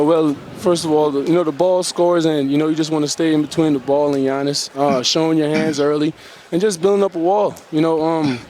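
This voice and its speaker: A young basketball player answers questions after a win, talking in a relaxed, earnest way and leaning on fillers. His voice sits a bit high for a man. A faint hiss of noise runs behind him. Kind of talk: post-game interview answers.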